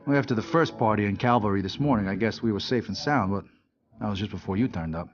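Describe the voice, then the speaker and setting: An actor playing a bitter, foul-mouthed mobster, narrating a video game story in gruff, cynical street slang. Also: male voice, fairly low pitch, fast, muffled recording, lightly acted. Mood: bitter, recounting.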